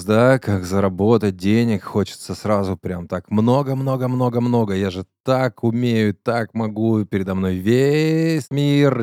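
A man's voice: fairly low pitch, dark tone, no echo, studio quality. A man in his late thirties hosting a podcast, chatting casually in a blunt, slangy way and telling stories from his own life. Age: late 30s. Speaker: podcast host.

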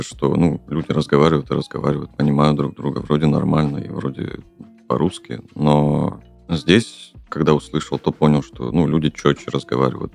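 A man's voice: rumbling low, gravelly, a little mellow. A young man from Kazakhstan, a podcast guest talking casually and hesitantly, with many fillers and restarts. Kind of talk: casual interview talk.

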